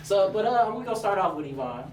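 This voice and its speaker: A man's voice: high voice, sing-song, quick pace, background noise. A young man from Missouri hosting a podcast, joking and swearing in casual chat. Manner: laughing, playful and profane. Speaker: podcast host. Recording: echoey room, mics at a distance.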